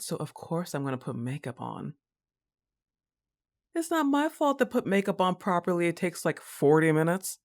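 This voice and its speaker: A woman playing a laid-back, teasing, slightly annoyed young goth roommate in a roleplay audio, with a sarcastic, casual drawl. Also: deep voice. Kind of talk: acted roleplay ASMR monologue.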